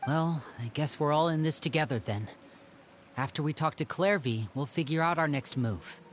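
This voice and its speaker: A man's voice: on the high side, normal speed, a little hiss or hum, close to an everyday voice. A man voicing a polished, theatrical young brother who leads and schemes in a video game. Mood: composed. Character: theatrical, smooth-talking young brother, ringleader, composed schemer.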